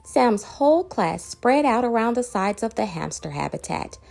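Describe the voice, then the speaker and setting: Animated woman reading a children's storybook. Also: a little high, moderate pace, creaky. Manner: animated, dramatic, varied emphasis. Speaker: children's book reader. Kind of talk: expressive storybook read-aloud.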